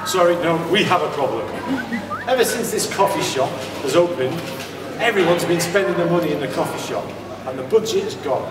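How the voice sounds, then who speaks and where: A man acts out a self-important pantomime manager, high in pitch and declamatory. The voice carries from far off in a reverberant, noisy hall. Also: animated, fairly quick, natural voice. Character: male students' union manager, self-important schemer. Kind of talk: amateur pantomime stage acting. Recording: echoey hall from afar, background noise.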